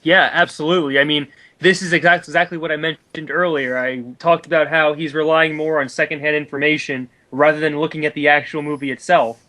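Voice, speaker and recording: A man with a fairly high voice talks through films as a co-commentator. His delivery is casual and rambling, and he argues his points. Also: steady tone, fairly quick, pushed and bright, poor audio.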